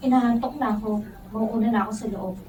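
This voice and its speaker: An actress plays a guarded, reserved young woman in a Filipino radio drama. Her voice is on the high side and reaches the mic from a distance in an echoey room. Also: steady tone, fairly quick, lightly acted. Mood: guarded, polite. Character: reserved pregnant young woman, guarded toward former suitor. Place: radio drama recording studio, captured behind the scenes. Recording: echoey, distant capture with clear noise.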